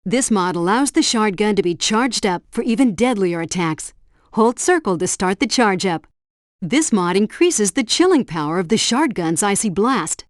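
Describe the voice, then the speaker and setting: A woman plays a helpful female helpdesk guide in a video game. She delivers scripted tutorial instructions with precise, instructive diction at a medium pitch. The sound is close and dry. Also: no room sound, lightly acted.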